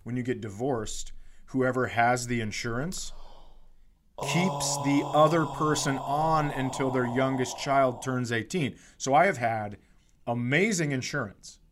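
A man's voice: mid-range voice, unhurried, a little hiss or hum. Middle aged american guy on a comedy podcast, casual ranty talk, swears a lot. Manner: animated ranting, profane.

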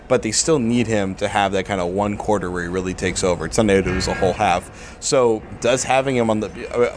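A man's voice: lowish voice, slight background noise. A man hosting a casual sports talk show.

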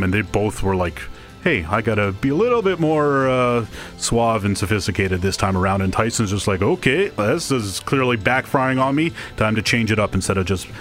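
A man's voice: lowish voice, fairly bright tone. A man co-hosting an entertainment show, chatting casually and enthusiastically in a rambling, colloquial way. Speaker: co-host of an entertainment review show. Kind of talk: casual pop culture chat.

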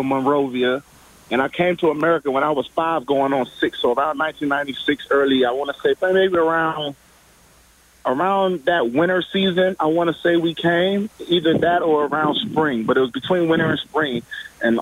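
A man's voice: a little high, no echo, rough recording. A man in his twenties, born in Liberia, telling his story casually on a phone interview, with many restarts. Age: 20s. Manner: casual, rambling, with repeated restarts. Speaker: football player guest. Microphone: phone call.

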